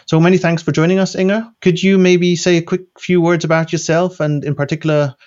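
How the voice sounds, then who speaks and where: A man's voice: fairly high pitch, level tone, brisk, darkish tone, highs cut off. A man hosting a panel discussion, introducing guests in a polite, formal way. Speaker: host.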